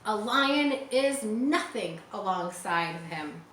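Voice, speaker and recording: A woman's voice: mid-range voice, expressive, slow pace, a bit bright, recorded from a distance. A woman voicing an earnest, awestruck male sailor with an emphatic manner in a storybook reading. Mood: admiring. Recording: roomy echo, faint background noise.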